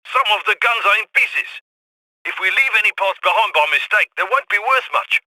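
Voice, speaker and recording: A man voicing a heist coordinator who gives brisk, commanding instructions over the radio for a video game. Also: sits high, animated, sharp tone, dry recording, exaggerated voice, poor audio. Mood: focused. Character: heist planner man giving radio orders.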